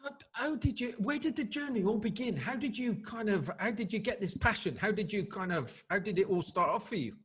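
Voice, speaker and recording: A man hosting a sports podcast, energetic and upbeat as he asks questions. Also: high voice, brisk, few pauses, husky. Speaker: podcast host.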